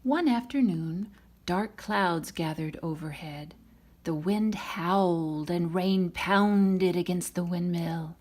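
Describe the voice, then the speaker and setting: A woman reading a children's storybook aloud in an expressive, dramatic manner. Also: fairly low pitch, slow pace. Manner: dramatic, voices the characters' lines. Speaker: storybook reader.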